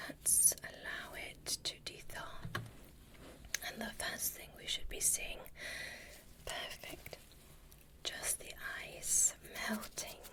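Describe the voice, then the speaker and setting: Woman to play courteous alien space doctor for ASMR roleplay; hushed whisper, unhurried, soothing instructions. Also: lightly acted. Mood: pleased, observing. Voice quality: soft breathy whisper. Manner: slow, soothing, gently instructing. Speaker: ASMR performer.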